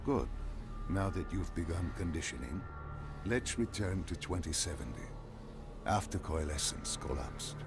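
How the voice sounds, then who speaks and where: A man playing a calm, commanding, enigmatic interrogator in a video game, guiding someone through memories with deliberate, controlling delivery. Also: deep voice. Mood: soothing, commanding. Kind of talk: dramatic game cutscene dialogue. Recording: music under the voice. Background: music.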